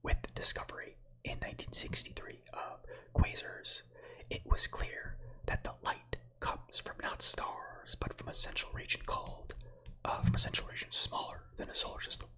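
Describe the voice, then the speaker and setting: A man in his thirties whose first language is Slovak, whispering softly as an ASMR streamer who reads space facts with chatty, meandering asides to viewers. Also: moderate pace, rough recording. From United States. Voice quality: breathy whisper.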